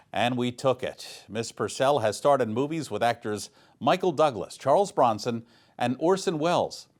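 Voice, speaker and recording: A man reading scripted local news as an anchor. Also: medium pitch.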